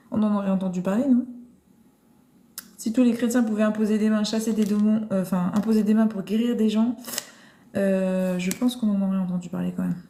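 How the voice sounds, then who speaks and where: A woman's voice: mid-range voice, dark tone. A woman hosting a casual live-stream Bible discussion, thinking aloud with many restarts and fillers. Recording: roomy echo.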